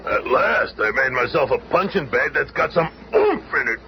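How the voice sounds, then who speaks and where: An actor playing a gruff, hot-tempered monster strongman with tough streetwise slang in a superhero radio drama. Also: a little high, sing-song, moderate pace. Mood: gruff, grumbling. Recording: noisy old radio recording.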